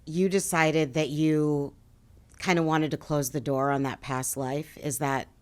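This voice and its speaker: A woman hosts a podcast interview in a notably low voice. She asks questions and backs the guest up with short, encouraging replies. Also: fairly even intonation, measured pace, darkish tone. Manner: attentive, encouraging listener. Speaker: podcast host.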